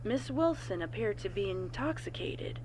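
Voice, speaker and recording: Female narrator, measured formal report reading, medium pitch, slight background noise. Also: expressive. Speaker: narrator of a horror fan fiction reading.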